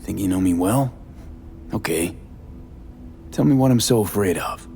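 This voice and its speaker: A man's voice: low-pitched, lively intonation, unhurried, vocal fry, dark tone, soft background music, heavily acted. A man playing a jaded, sardonic mercenary in a video game, blunt and guarded, in clipped, terse phrases with dry sarcasm.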